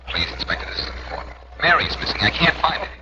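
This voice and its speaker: An actor playing an insistent, earnest amateur detective pleading for help in a 1940s radio drama. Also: male voice, fairly high pitch, big pitch swings, quick pace, dull audio. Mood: urgent pleading. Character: urgent, pleading male amateur detective in a jam. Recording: very noisy old radio recording.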